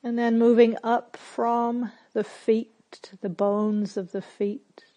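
A woman leading a guided meditation as a teacher, speaking gently and with measured, spare phrases separated by long silences. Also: fairly high pitch, level tone. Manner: gentle, measured, softly instructive.

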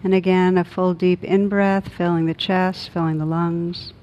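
A woman meditation teacher leading a guided meditation in a gentle, soothing manner with long pauses. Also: lowish voice, flat delivery, dull audio.

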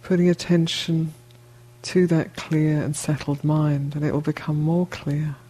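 A woman teaching a guided meditation, speaking gently and unhurriedly in short phrases with long pauses between them. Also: low voice, level tone, slightly rough, rough recording.

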